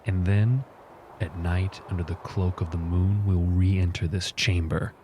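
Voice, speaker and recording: Man voicing a deep, slow, grave warlord. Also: steady tone, noisy background. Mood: solemn, resolute. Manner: slow, grave, measured proclamations. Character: solemn, commanding male dark elf lord addressing warriors.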